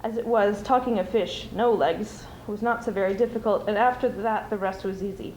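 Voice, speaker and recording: A woman's voice: medium pitch, moderate pace, a little mellow. A young woman, a student performer, giving a dramatic reading of a storybook. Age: teens or young adult. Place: school speech showcase before an audience. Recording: roomy, noisy amateur stage recording.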